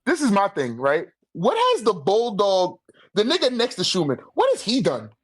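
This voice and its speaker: A man's voice: high-pitched, huge pitch swings, moderate pace, slightly rough, dry recording. A man in a casual debate, speaking informally with heavy slang and swearing. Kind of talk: casual debate about manga character rankings.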